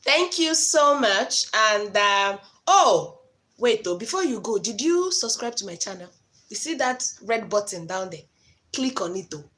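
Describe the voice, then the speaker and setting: A woman's voice: mid-range voice, very animated, a little slow, a bit bright. A Nigerian woman whose first language is Igbo, hosting a live stream and giving frank, chatty advice to her viewers. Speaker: host giving relationship advice. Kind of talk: casual live-stream talk.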